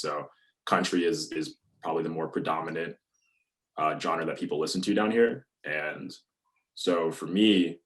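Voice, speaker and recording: A young man from North Alabama with a deep, bassy voice, chatting casually as a musician guest with plenty of "you know" and "um". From North Alabama, USA. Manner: relaxed, many fillers like "you know" and "um". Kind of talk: casual interview talk.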